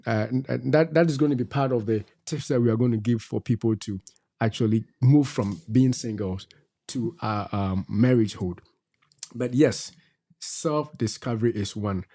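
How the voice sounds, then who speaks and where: A man's voice: mid-range voice, normal speed, mellow. A middle-aged man gives faith-based advice on a talk show. He exhorts his listeners and repeats his key phrases like a preacher. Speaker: co-host.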